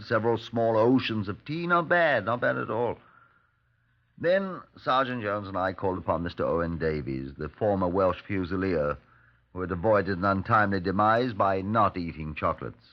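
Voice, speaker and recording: A man's voice: on the low side, animated, moderate pace, lightly acted. An actor playing a dry, skeptical Scotland Yard superintendent in 1950s radio drama style, wry and understated as he questions and narrates. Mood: wry narration. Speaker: detective and narrator. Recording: dull old radio recording.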